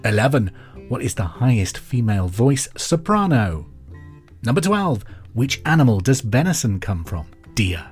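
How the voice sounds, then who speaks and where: Middle aged guy doing pub quiz questions, clear and deliberate, music playing behind him. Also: medium pitch, a little mellow. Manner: clear deliberate delivery, repeats each question. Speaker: pub quiz podcast host. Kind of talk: quizmaster reading trivia questions and answers. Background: music bed.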